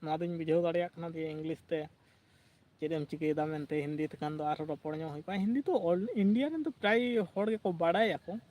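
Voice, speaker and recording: A man's voice: on the high side, fairly quick, darkish tone, poor audio. A man hosting a casual livestream, chatting, reading out viewer comments and laughing often. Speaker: livestream host.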